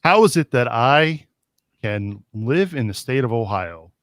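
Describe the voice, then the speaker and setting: A Midwestern American man hosts a casual sports talk show with a middle-pitched voice. He gets heated and animated as he rants. The sound is dry and close to the mic. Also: measured pace, no room sound. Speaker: podcast host.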